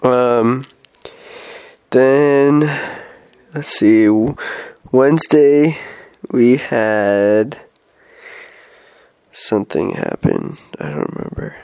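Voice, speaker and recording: A man's voice: mid-range voice, very slow, pauses often, a little mellow, clear, resonant tone. Rambling young man with a head cold. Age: young adult. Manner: rambling, many fillers and restarts. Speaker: missionary. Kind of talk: casual weekly update vlog.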